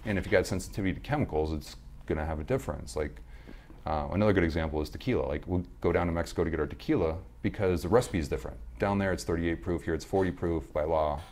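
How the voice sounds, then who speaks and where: A man as a podcast guest, telling rambling stories in casual chat with a slightly hoarse, smooth low voice. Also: fast, darkish tone. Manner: rambling storyteller, many restarts and fillers.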